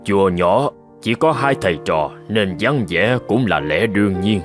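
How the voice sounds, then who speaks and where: A man acting a serene, low-voiced old monk. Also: lively intonation, faint music behind. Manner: measured, serene, gently instructive. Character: calm, forgiving elderly male temple master monk. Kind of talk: cartoon voice acting.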